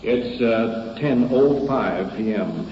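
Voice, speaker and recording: A man's voice: mid-range voice, slow pace. An American man reporting on location with police as a 1950s radio documentary narrator, hesitantly piecing his account together as he goes. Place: on location with police, vehicle and ambience noise. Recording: muffled, very noisy 1950s field radio recording.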